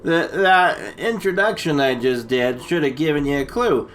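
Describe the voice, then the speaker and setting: A man co-hosting a casual comic movie recap, rambling and halting, full of slang. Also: on the high side, animated, fairly bright tone, soft background music. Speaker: comedy storytelling co-host.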